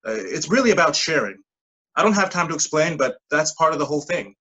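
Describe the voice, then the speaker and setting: A persuasive scheme recruiter played by an actor in a staged play reading. The voice sits at a middling pitch with a slightly husky edge, pressing insistently in clipped phrases while dodging plain words. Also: sing-song, quick pace, bright, forceful tone, exaggerated voice. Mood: insistent, earnest. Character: smooth-talking, pushy pyramid-scheme recruiter mentoring a junior coworker.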